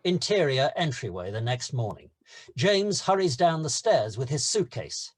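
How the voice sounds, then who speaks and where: Lively, husky-voiced male narrator. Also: medium pitch, moderate pace. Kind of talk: scripted screenplay narration.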